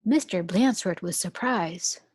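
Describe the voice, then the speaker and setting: Brief: expressive teacher reading aloud to little kids, slow pace, dry close sound. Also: female voice, lowish voice, slightly rough, no echo. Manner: expressive, storytelling. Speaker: pre-kindergarten teacher. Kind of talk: children's read-aloud.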